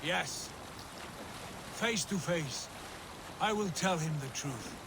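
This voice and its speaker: An actor playing a proud, embittered Viking lord in a video game, speaking in a solemn, declamatory way. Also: male voice, fairly high pitch, expressive, takes it slowly, noisy background. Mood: bitter. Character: embittered, proud Viking lord, grown man, fervent and brooding. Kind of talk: dramatic video game acting.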